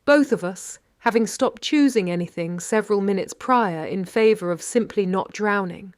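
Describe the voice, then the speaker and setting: An actress playing a wry, brave 1930s London lady detective, narrating in a measured, literary British voice. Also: mid-range voice, no room sound, clean studio sound, lightly acted. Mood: spent, tender. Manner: measured, literary, dryly understated. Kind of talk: scripted first-person audio drama narration.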